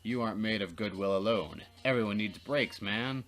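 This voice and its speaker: A man's voice: fairly low pitch, normal speed, a bit bright, faint music behind. A man doing a let's play, narrating dramatically and slipping into casual, jokey banter. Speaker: let's player reading a visual novel aloud.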